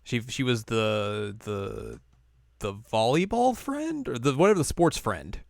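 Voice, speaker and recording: A man's voice: mid-range voice, wildly swooping pitch, unhurried, vocal fry, no room sound, clean studio sound. A man co-hosting a podcast in casual chat, rambling with lots of fillers and frequent laughs. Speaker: podcast co-host.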